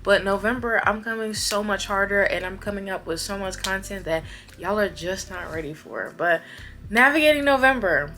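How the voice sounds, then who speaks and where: A young woman in her twenties talks straight to her viewers in a bright, clear voice. She is chatty and animated, often repeating words for emphasis. Soft music plays faintly underneath. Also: mid-range voice, moderate pace. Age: 20s. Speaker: vlogger. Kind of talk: casual chat to camera.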